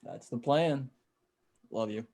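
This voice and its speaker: An actor playing a weary, trusting husband and survivor in a table read, with a casual, understated delivery. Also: male voice, medium pitch, slow, darkish tone, close to an everyday voice. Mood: calm, easy.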